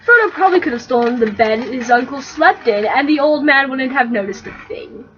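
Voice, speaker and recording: Woman narrator, high voice, very lively intonation, storybook reading, slightly lo-fi. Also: fairly quick, a little hiss or hum. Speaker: reader of comic fan fiction. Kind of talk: storybook narration read aloud, animated. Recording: slightly dull, lo-fi.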